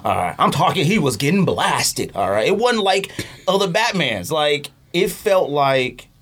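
A man's voice: on the high side, big pitch swings, unhurried, a bit bright. A man chatting casually as a podcast host, emphatic and opinionated, with slangy phrasing and repeats for emphasis. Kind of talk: casual podcast banter about movies.

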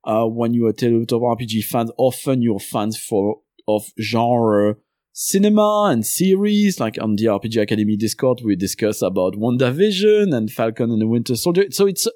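A man from Belgium with a Belgian French accent, speaking English as a second language in a relaxed interview. His voice is dark and mellow, and the recording is close and dry. He rambles at length, peppered with uh. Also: mid-range voice, normal speed, dry recording. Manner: talks at length, many uh fillers. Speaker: podcast host and game designer guest. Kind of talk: casual interview chat.

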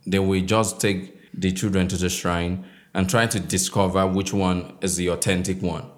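A man from Nigeria, a novelist in his thirties, answering interview questions in a measured way with many fillers. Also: low-pitched, level tone. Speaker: novelist guest.

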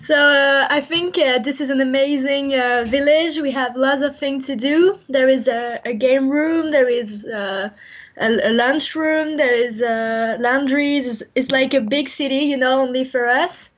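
A young woman with a French accent, speaking English as a second language, answering interview questions over the phone and pausing often to find her words. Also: high-pitched, fairly even intonation. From France. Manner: halting, searching for words, many uh fillers. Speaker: interviewed athlete. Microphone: phone line. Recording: lo-fi phone line.

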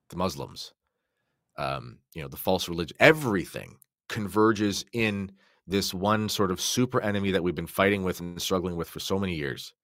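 A man's voice: deep voice, dry recording, studio quality. A man speaking as a podcast guest in an impassioned religious discussion, explaining emphatically and often posing rhetorical questions.

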